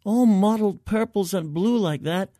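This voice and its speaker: A man voicing a female military medic in an audio story, gentle, earnest and concerned as she probes. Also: high voice, unhurried, no echo, clean studio sound, voice pushed up.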